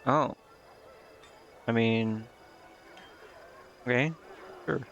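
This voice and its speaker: A man giving casual, informal let's-play commentary as a game streamer, talking in a halting way. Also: medium pitch, creaky, fairly bright tone. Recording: dull lo-fi mic, music behind. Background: game music.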